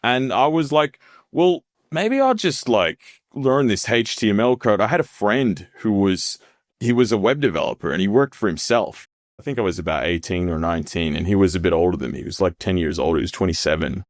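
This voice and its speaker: Guy in his thirties, very deep and dry, loose chatty guest storytelling. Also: a little fast, no room sound. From Australia. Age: 30s. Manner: relaxed, rambling, laughs often. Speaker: podcast guest. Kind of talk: casual storytelling interview.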